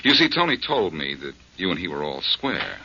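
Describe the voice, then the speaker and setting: A deep-voiced, mocking private detective from a 1940s radio play. His husky voice swoops widely and carries a bright, cutting edge. The old broadcast sounds muffled and dull. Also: sing-song, exaggerated voice. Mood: cool. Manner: dry, mocking, unhurried.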